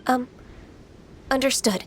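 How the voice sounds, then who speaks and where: A woman playing a gentle young half-immortal in a video game, polite, earnest and a little hesitant. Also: high voice, slow, a little hiss or hum. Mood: dutiful.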